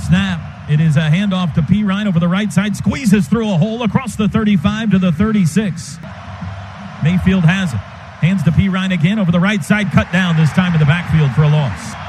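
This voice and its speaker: High-pitched American man calling football on radio. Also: a little fast, noisy background. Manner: clipped phrases, excited calls. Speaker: radio play-by-play announcer.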